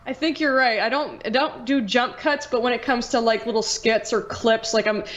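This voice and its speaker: A woman's voice: a little high, moderate pace, fairly bright tone, dull audio. Casual, slangy woman hosting a podcast. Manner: informal, slangy, swears casually. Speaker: podcast host, online video creator. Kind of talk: casual chat.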